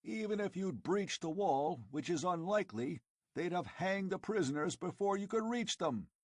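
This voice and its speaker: A man's voice: sits high, lively intonation, normal speed, dry recording, clean studio sound, voice pushed up. A man voicing a blunt, exasperated barbarian warrior who roars his words. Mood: roaring. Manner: bellowing, blunt.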